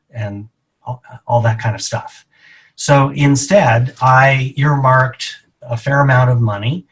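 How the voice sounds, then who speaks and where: A middle-aged man being interviewed as a real estate agent, explaining his answers in a measured, deliberate way. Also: medium pitch, low-quality recording.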